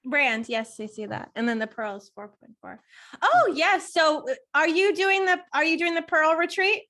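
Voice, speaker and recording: Woman, fairly high voice, casual webinar co-host, chatty, enthusiastic, giggly. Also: lively intonation, moderate pace, sharp tone. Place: online video call.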